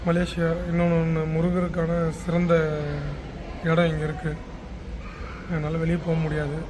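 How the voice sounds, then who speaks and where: A man's voice: fairly high pitch, monotone, moderate pace. A man from Chennai with a Tamil Nadu accent, talking casually as a business founder filming a travel vlog in an airport. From Chennai, India. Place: busy international airport. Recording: noisy public space, clear background noise.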